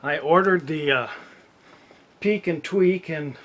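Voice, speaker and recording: Guy doing a casual unboxing walkthrough, slow and halting, bit higher voice, faint hum like an ac running. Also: a bit bright. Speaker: unboxing video presenter. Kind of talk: casual how-to narration.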